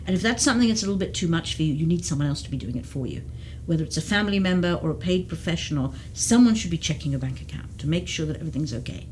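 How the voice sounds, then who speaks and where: A woman's voice: deep voice, animated, very fast, hardly any pauses, a little mellow, slight background noise, poor audio. A woman who works as a geriatric case manager, explaining at length in an interview with frequent restarts. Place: community TV studio.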